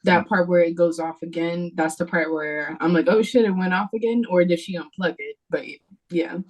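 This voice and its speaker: A woman's voice: fairly low pitch, fairly even intonation, moderate pace, a little mellow, poor audio. A woman giving casual feedback on a script over a video call, speaking in loose, informal, slangy phrasing. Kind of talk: casual chat.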